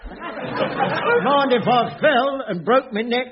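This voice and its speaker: High-pitched, grumbling put-upon caretaker. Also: male voice, extremely slow, crowd noise, exaggerated voice. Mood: grudging deference. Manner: grumbling, stammering, servile. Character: put-upon, grudgingly deferential, muttering male caretaker. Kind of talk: 1980s radio sitcom acting. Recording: dull radio recording, studio audience, faint noise.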